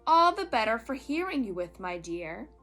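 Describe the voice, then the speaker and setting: A woman's voice: fairly high pitch, very animated, normal speed, a bit bright, soft background music. A woman voicing a greedy storybook wolf who pretends to be a frail old grandma in a strange, wobbly, sugary voice. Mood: sly, sugary.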